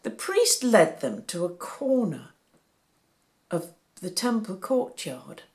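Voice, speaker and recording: A woman reading a Bible story aloud for children, with a deliberate, animated storytelling delivery. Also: fairly low pitch.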